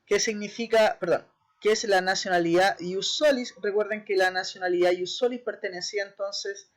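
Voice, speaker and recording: Chilean teacher explaining a lesson. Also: mid-range voice. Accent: Chilean Spanish. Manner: explains step by step, often checks in with "¿ya?" and "¿cierto?"; repeats key terms, brief hesitations and self-corrections. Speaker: history teacher. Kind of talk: recorded online class lecture.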